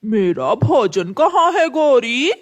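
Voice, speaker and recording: A woman voicing an aggrieved old male crow in a children's cartoon, with a drawn-out, complaining delivery. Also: sits high, sing-song, exaggerated voice. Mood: indignant.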